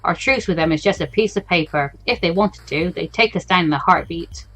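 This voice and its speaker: A woman's voice: lowish voice, fairly quick, a bit bright, faint music behind, poor audio. A woman voicing a cynical, blunt man drinking at a bar in a visual novel, talking in a casual, grumbling way. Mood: grim, resigned. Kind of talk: visual novel read-aloud.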